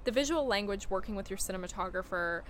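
A woman's voice: medium pitch, animated, fast talker, a little hiss or hum. A woman hosting a podcast, chatty and effusive, asking long winding questions at a live festival recording. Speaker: podcast host interviewing filmmakers. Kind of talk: casual interview chat. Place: live at a film festival venue.

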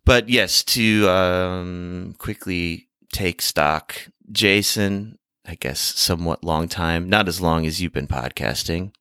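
Slow, deep-voiced man hosting a podcast. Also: sharp tone, smooth, no echo, studio quality. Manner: halting, hesitant delivery with long pauses. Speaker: podcast host. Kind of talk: casual, rambling chat. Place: remote recording over a video call. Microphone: microphone held close to the mouth.

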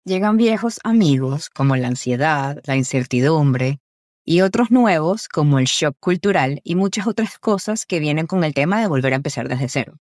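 A woman in her twenties with a Venezuelan accent, hosting a podcast in a scripted, personal narration with articulate, deliberate phrasing. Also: deep voice, lively intonation, darkish tone, no room sound, clean studio sound. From Caracas, Venezuela. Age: 20s. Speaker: podcast host.

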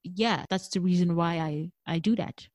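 Candid South African jazz singer, dry sound. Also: female voice, on the low side, moderate pace, darkish tone, no room sound. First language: a South African language. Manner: thoughtful, candid, laughs easily. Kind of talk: conversational interview.

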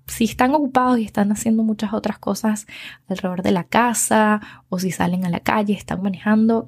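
A woman's voice: mid-range voice, vocal fry, darkish tone, breathy edge. A woman hosting a podcast. She talks in a chatty, upbeat way and repeats words for emphasis, both in her intro and while interviewing. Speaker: podcast host.

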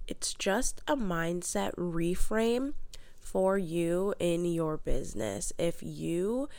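A woman's voice: fairly low pitch, expressive, takes it slowly, a little mellow, no room sound. Congested woman coaching, stuffy nose. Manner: upbeat, motivational coaching delivery. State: congested with a head cold. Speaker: podcast host and mindset coach. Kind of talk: solo podcast monologue.

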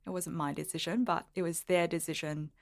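A woman's voice: lowish voice, steady tone, brisk, gravelly. A woman who grew up in Singapore and Melbourne, talking casually and reflectively as an interview guest, explaining with small asides. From Singapore, moved to Melbourne at thirteen. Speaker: podcast guest, lawyer and blogger.

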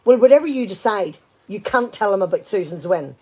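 A woman's voice: medium pitch, lively intonation, brisk, warm tone, breathy edge, poor audio. An amateur actress, a woman, playing a practical, firm wife in a conversational domestic radio play.

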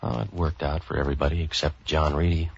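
Cynical American hard-boiled hero, very low voice, deadpan narration, dull vintage radio sound. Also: male voice, fast, vocal fry, breathy edge, exaggerated voice. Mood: wry, matter-of-fact. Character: street-wise, cynical male boat renter for hire. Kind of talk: 1940s radio detective drama narration and acting. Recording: dull 1940s radio recording.

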